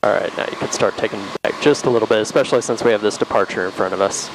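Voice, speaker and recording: A man flight instructor calmly coaching and encouraging a pilot in a casual way inside a small plane cockpit. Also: fairly low pitch, rapid-fire. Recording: noisy small-plane cockpit audio, engine drone. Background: aircraft engine hum.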